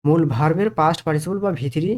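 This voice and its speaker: A man's voice: on the high side, animated, fast, a little mellow. A man with a Bengali accent teaching grammar step by step, asking questions and answering them himself, with frequent check phrases. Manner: didactic, asks and answers own questions, repeats check phrases.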